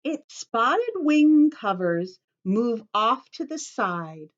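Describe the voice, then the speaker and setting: A woman reads and explains to young children as a story-time teacher. Her pace is slow and deliberate, and her pitch moves in big animated swings. She keeps her phrases short and simple, stressing key words. The recording sounds slightly dull and lo-fi. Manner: simple short phrases, addressing children directly, careful emphasis. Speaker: children's teacher reading a picture book.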